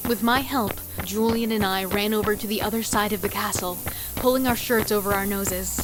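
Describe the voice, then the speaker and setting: A woman's voice: mid-range voice, normal speed, over music, close to an everyday voice, rough recording. A woman playing a wary, devoted female killer in a horror audio drama, telling and living the story with tense emotion. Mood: urgent. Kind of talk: first-person dramatic narration and horror audio drama dialogue.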